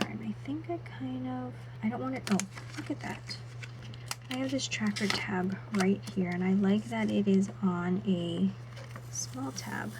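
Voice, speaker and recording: A woman vlogger narrating her planner setup in a soft, half-whispered voice, her throat still a little sore. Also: medium pitch, background noise. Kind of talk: casual voice-over while setting up a planner.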